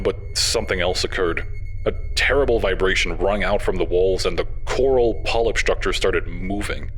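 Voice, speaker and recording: A man performs a solemn explorer recounting an expedition journal for a horror story. The voice is low and measured, with the gravity of someone obsessed by what they found. Music plays clearly behind it. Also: a bit bright, rough recording. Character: hiker-explorer keeping a journal, obsessed with an eerie tower. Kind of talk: dramatic first-person journal reading. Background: background music.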